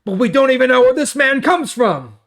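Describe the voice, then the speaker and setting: A man's voice: very high voice, expressive, fairly quick, fairly bright tone, voice pushed up, heavily acted. A man voicing scornful, outraged religious leaders with an accusing, haughty manner in a Bible story reading.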